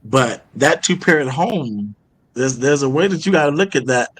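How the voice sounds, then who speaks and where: A man's voice: mid-range voice, animated, normal speed, poor audio. A man hosting a casual podcast, laid-back and slangy, often repeating words as he gets a sentence going. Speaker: podcast host.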